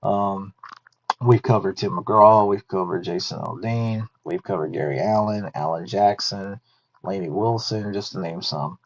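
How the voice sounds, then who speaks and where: A man's voice: low-pitched, a little slow. A man in his thirties hosting a podcast in a chatty, easygoing way, thinking aloud and correcting himself as he goes. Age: 30s. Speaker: podcast host. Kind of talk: casual podcast talk. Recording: dull lo-fi sound.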